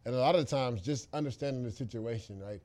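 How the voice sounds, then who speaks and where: A man's voice: medium pitch, quick pace, darkish tone. A man co-hosting a football podcast, giving emphatic, opinionated analysis in a casual, conversational way.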